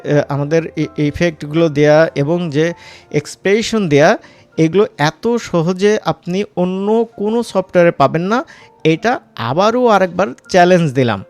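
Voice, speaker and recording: A man with a Bangladeshi accent giving a casual, informal software tutorial as an instructor, mixing in English words. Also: high voice.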